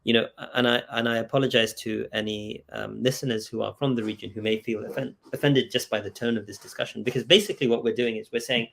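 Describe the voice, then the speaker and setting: A man speaking as a scholar in an earnest intellectual debate, insistent, pausing mid-thought and often checking for agreement. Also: mid-range voice, steady tone, creaky, a bit bright. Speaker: scholar and author in discussion. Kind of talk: earnest intellectual debate over video call. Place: video call.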